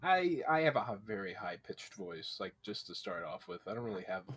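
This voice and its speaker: A man guest on a podcast, chatting casually with a husky morning voice, giggly and self-deprecating. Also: fairly low pitch, very animated, a little fast, fairly bright tone, a little hiss or hum.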